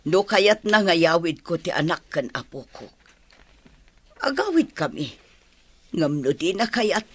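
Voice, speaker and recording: A woman playing an anxious, determined mother searching for her child in an Ilocano radio drama, with a husky, breathy voice. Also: lowish voice, very animated, measured pace, a bit bright, exaggerated voice.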